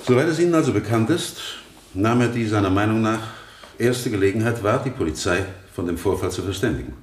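An actor playing a shrewd defence attorney in a radio courtroom drama, questioning formally and deliberately. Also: male voice, fairly low pitch, lively intonation, close to an everyday voice. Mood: probing, courteous. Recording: roomy, faint noise, vintage radio production.

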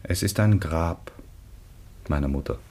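An actor playing a grave, gentle grieving son in a classic German radio drama, breathy and theatrical. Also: male voice, rumbling low, slow, full character voice. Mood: grave, evasive.

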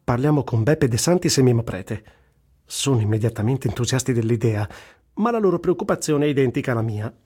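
A husky male voice at medium pitch tells a war journalist's own story for radio. He is tender and wry, mixing literary recitation with blunt, earthy colloquial turns. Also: animated, a little fast, mellow. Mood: wry, brisk. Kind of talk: first-person narration in a radio reading.

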